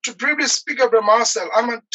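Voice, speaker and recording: A man in his forties from Nepal, speaking as an interview guest over a video call, earnest and halting with many pauses and fillers. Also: very high-pitched, fairly bright tone. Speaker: guest, activist. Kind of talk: earnest personal account in an interview.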